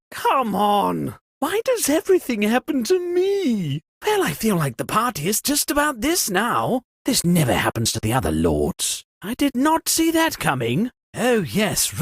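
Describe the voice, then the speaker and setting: An actor playing a flustered, spoiled young lord in a comedic video game, theatrical, whiny and pompous. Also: male voice, high-pitched, no room sound, studio quality, heavily acted. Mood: exasperated, dismayed. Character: flustered, spoiled rich young lord hosting a murder party. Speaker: game host.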